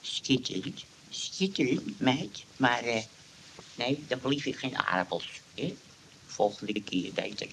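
An actor playing a muddled, cheerful old grandfather in a radio play, halting and repeating himself. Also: medium pitch, sing-song, noisy background. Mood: contented. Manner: rambling, repetitive, halting.

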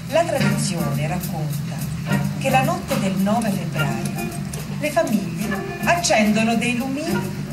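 A woman telling a story theatrically, in deliberate, emphatic phrases. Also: medium pitch, reverberant. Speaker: stage actor and narrator. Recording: distant microphone under loud music.

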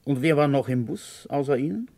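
Shrewd country police inspector in a radio crime play, raspy voice that swings up and down, keeps probing. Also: male voice, mid-range voice, lively intonation, a little fast, darkish tone. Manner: probing, pointed questioning. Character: male rural police inspector, probing, shrewd, quietly ambitious. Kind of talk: German radio crime drama acting.

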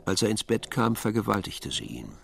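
Low, gravelly male radio drama narrator. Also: big pitch swings, moderate pace, a bit bright.

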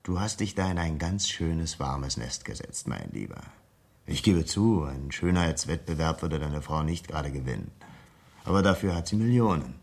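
Radio thriller: escaped convict blackmailer, deep and husky, sardonic, controlled, quietly threatening, insistent on money. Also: male voice, expressive, normal speed, heavily acted. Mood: menacing, insistent. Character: cool, menacing escaped convict demanding money. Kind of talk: German radio crime drama acting.

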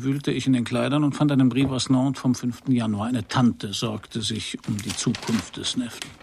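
An actor playing a wry, hard-boiled private detective in a radio crime play, with a dry, laconic delivery. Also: male voice, mid-range voice, gravelly, darkish tone, a little hiss or hum, natural voice. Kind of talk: first-person crime radio play narration and dialogue.

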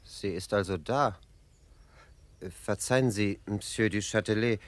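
An actor in a German radio drama playing an earnest, naive, insecure young provincial gentleman, hesitant and polite. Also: fairly low pitch, a little slow, no echo, lightly acted. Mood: polite, subdued.

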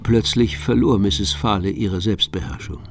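An actor narrating a detective radio drama as a calm, observant literary storyteller. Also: male voice, on the low side, normal speed, vocal fry, soft background music.

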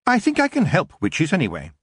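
A man voicing a polite, quiet young lad. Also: fairly high pitch, wildly swooping pitch, fairly quick, slightly rough, no room sound, studio quality, voice pushed up, heavily acted. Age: young adult. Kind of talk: audiobook character voice.

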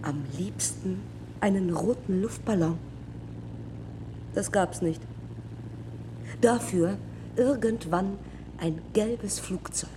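An actress playing a wry, reflective young woman aviator of the 1930s in a radio drama, speaking deliberately in short, clipped fragments. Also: mid-range voice, background noise, lightly acted. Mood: wry, remembering. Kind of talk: radio drama monologue and dialogue.

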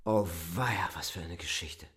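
An actor playing a bewildered, blunt poet held in an asylum, in a radio drama. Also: male voice, sits low, measured pace, fairly bright tone. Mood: agitated, baffled. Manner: blunt, agitated questioning. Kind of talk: German radio drama acting.

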